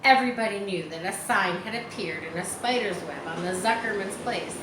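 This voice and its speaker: A woman's voice: mid-range voice, fairly quick, fairly bright tone. A woman reading a children's book aloud, dropping in informal asides. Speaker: storybook reader. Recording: roomy echo, faint background noise.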